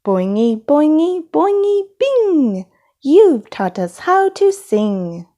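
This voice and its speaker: A woman's voice: high voice, sing-song, takes it slowly, dark tone, voice pushed up, full character voice. A woman voicing friendly giant singing rocks in a children's storybook, with a sing-song, emphatic delivery. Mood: playful singing.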